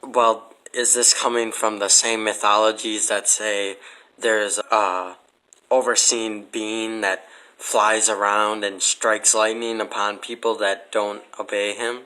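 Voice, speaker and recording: A man's voice: lowish voice, level tone, slow, pushed and bright, smooth, rough recording. A man chatting casually as an interview guest, with a blunt, skeptical way of talking. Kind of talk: casual chat.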